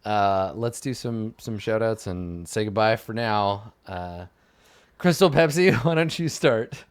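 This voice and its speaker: A man's voice: lowish voice, lively intonation, slow pace, smooth. Laughing, upbeat male game master. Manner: laughing, upbeat, trails off quietly. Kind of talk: casual stream outro chat.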